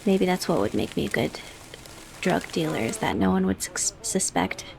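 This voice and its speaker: A woman with a soft, high voice talking quietly through a gameplay video for sleep, slipping between a hush and a whisper. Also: animated, normal speed, vocal fry, slight background noise. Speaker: gamer. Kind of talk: soft-spoken ASMR gameplay.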